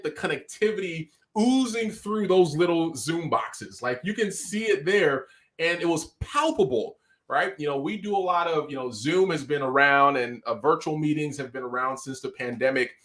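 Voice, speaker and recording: This is an upbeat male host with a Nigerian accent, talking in quick, excited bursts as he runs a live online show. His voice sits a touch higher than most men's. It sounds like it comes through a video call mic. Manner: energetic, hyped, quick bursts of talk.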